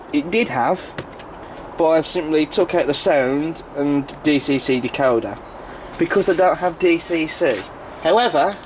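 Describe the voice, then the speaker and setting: A British man reviews casually to camera in a somewhat high voice, made croaky and congested by a cold. He explains things in a rambling, informal way. There is faint noise behind him. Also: a little mellow. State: has a cold, sore throat. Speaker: model railway video reviewer.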